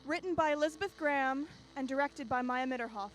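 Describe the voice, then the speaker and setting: High-pitched woman hosting a radio anthology. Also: fast, soft background music. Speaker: host of radio drama anthology. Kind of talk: scripted narration.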